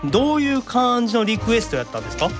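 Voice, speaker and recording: A male show host, chatty and lively in intonation. He mixes polite announcer phrasing with playful comebacks, with music behind him. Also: extremely high pitch, fast.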